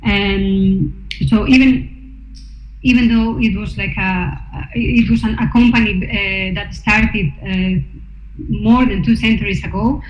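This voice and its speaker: A woman's voice: mid-range voice, fairly even intonation, slow. A woman artist presenting her research to slides, hesitant, with many fillers and restarts. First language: not Norwegian. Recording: echoey room, dull sound, faint noise.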